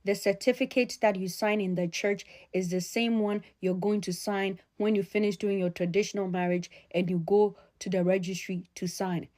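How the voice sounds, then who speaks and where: A woman speaking straight to her audience in an opinion vlog, emphatic and admonishing, repeating key phrases deliberately. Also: medium pitch, fairly even intonation, warm tone. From Ghana. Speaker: sociologist addressing followers.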